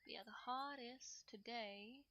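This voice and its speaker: A woman's voice: fairly high pitch, measured pace, bright, forceful tone, slight background noise. A woman streamer talking informally to her viewers, rambling a little and thinking aloud. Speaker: streamer, channel host. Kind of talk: casual livestream chat with viewers.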